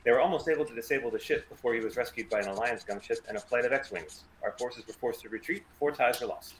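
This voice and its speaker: A man's voice: mid-range voice, fast, echoey room, a little hiss or hum, lightly acted, low-quality recording. A man playing a dutiful military lieutenant giving a formal, clipped report at a table read.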